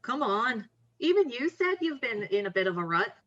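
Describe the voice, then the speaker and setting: A slightly muffled recording of a bold young woman friend played by an actress in a drama table read. She is sardonic and teasing, with a fairly high, forceful, confident voice. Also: big pitch swings, moderate pace. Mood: pushy, confident. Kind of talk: table read acting, conversational.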